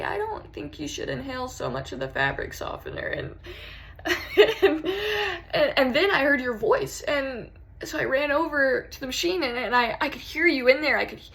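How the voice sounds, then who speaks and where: A woman's voice: high voice, creaky, a bit bright, heavily acted. A woman acting a theatrical monologue as a rattled, unravelling jilted lover, stammering and repeating her words as she pleads. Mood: manic, amused.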